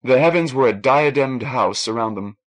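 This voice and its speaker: A man's voice: mid-range voice, animated, normal speed, poor audio. A man reading a novel aloud in a scripted, literary narration style. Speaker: audiobook narrator.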